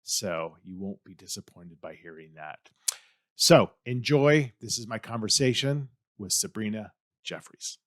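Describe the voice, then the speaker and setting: A man hosting a podcast interview, asking questions in a relaxed, halting way. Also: lowish voice. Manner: relaxed, halting, with filler restarts. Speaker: podcast host. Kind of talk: casual interview chat.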